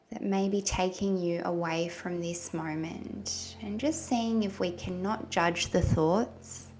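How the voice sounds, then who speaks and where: A woman leading a guided meditation in a gentle, soothing manner with long pauses between phrases. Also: on the low side, a little hiss or hum. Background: soft background music.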